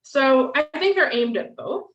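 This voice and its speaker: A woman lecturing over a video call in a bright, forceful voice, chatty and full of "um" and "right?" tags. The audio is dull and roomy, as if she sits back from the mic. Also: sits high, very animated, measured pace, far from the mic. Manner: conversational, frequent "um" and "right?" tags. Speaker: guest lecturer. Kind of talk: online lecture with Q&A.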